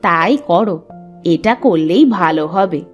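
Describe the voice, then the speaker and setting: A woman playing a spirited, expressive village wife in a cartoon story. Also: fairly low pitch, takes it slowly, muffled recording, soft background music. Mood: caring. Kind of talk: Bengali cartoon story voice acting.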